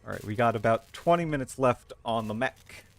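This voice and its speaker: A man's voice: medium pitch, expressive, brisk, vocal fry. A man streaming a video game, switching between casual chat and dramatic readings of game lore.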